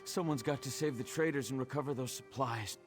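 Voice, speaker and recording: A man's voice: medium pitch, a little fast, soft background music, lightly acted. A man playing a worried, earnest caravan guard in a fantasy video game, speaking plainly. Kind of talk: video game dialogue acting.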